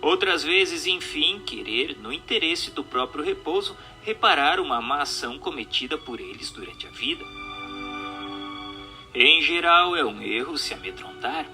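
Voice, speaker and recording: A Brazilian man reading a book aloud as scripted narration, in a formal, measured and emphatic way. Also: a little high, animated, pushed and bright. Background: music.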